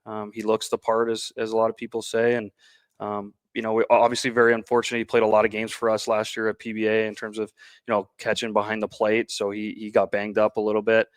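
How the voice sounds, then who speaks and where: A man with a Canadian accent giving conversational interview answers as a baseball coach, pausing often as he talks. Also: fairly low pitch, monotone, no room sound.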